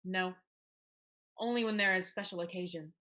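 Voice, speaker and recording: A woman's voice: fairly low pitch, fairly even intonation, moderate pace, bright, forceful tone. A woman playing a rueful, defiant teenage schoolgirl in a dramatic reading of a period play. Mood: aggrieved.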